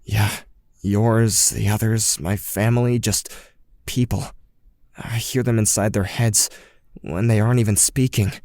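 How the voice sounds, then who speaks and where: A man's voice: on the low side, steady tone, measured pace, a little mellow, no echo. A man playing an anxious, skeptical teenage boy with psychic powers in an audio drama, with a hesitant, wry delivery. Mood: hesitant, confiding. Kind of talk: audio drama dialogue and first-person narration.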